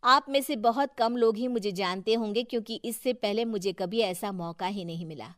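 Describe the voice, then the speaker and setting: A woman's voice: mid-range voice, moderate pace, fairly bright tone, no room sound, clean studio sound, lightly acted. A woman voicing a sidelined councillor's earnest character, a wife who speaks up with reproach in a cartoon story. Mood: resolute. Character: sidelined councillor wife, earnest, finally standing up to her husband. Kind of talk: scripted cartoon story dialogue.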